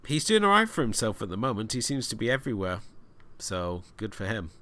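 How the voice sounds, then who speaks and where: A man co-hosting a podcast, giving relaxed, enthusiastic film recommendations. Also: on the low side, a little fast, noisy background. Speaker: podcast co-host. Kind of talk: casual film discussion.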